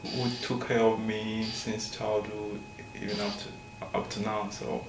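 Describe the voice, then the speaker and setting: A man's voice: on the low side, level tone, measured pace. Young Ghanaian man, echoey noisy room. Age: young adult. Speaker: student athlete interviewee. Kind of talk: casual, informal talk about family. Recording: echoey room with clear noise.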